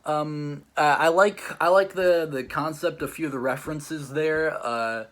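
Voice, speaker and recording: A man's voice: fairly high pitch, measured pace, fairly bright tone. A man hosting and judging a challenge, chatting casually in a rambling, hesitant way with lots of "like". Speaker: host and judge of a challenge show. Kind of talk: casual chat, reviewing stories.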